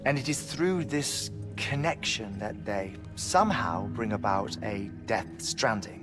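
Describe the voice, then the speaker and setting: An actor playing an earnest scientist in a game cutscene, speaking in a measured, scholarly way. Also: male voice, fairly low pitch, expressive, over music. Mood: explaining. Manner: measured, scholarly, precise, lecturing. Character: brainy, earnest male scientist lecturing on theory. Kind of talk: video game cutscene acting. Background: game score.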